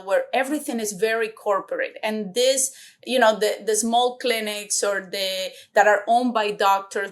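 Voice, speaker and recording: A woman hosting a podcast interview, enthusiastic, her phrasing slightly halting. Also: a little high, a bit bright. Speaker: podcast host.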